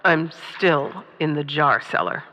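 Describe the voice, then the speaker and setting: A woman's voice: low-pitched, unhurried, bright, forceful tone. A woman playing a weary, proud astronomer in a melodramatic comedic radio drama. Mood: dry. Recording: dull, echoing radio-play sound with music.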